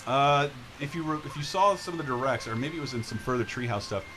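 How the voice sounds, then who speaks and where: A man's voice: medium pitch, quick pace, background noise. A man giving casual gameplay commentary, explaining how the game works as he plays. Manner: explanatory, walks listeners through the game. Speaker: host playing a video game on a show. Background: video game music.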